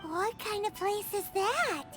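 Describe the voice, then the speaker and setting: A tiny fairy sidekick for a video game. The voice is squeaky, childlike and extremely high, bouncing widely in pitch with a cheeky, cute manner. Loud music plays behind it. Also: female voice, expressive, slow, heavily acted. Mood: curious, earnest.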